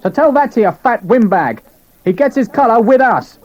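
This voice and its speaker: A man's voice: extremely high pitch, lively intonation, normal speed, lo-fi sound, voice pushed up. A man voicing an angry, defiant army officer who speaks in a raised, clipped voice.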